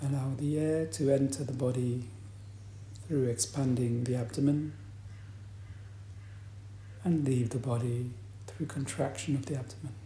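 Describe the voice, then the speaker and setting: A man leading a guided meditation as a teacher, speaking in a calm, deliberate manner with long pauses. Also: medium pitch. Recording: roomy echo, faint background noise.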